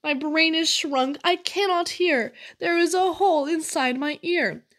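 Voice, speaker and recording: Woman as whiny little girl playing sick, high voice, slow dramatic complaining, storybook reading. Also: fairly bright tone. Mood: whiny, pitiful. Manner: melodramatic complaining.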